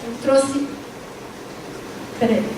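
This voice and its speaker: A high-pitched woman delivers a university panel lecture, often dropping a questioning "né" and breaking off words to restart them. She sounds distant in a very echoey lecture hall, with clear background noise in the room. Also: steady tone, very slow. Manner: frequent tag "né", restarts mid-word. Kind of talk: academic panel talk with slides.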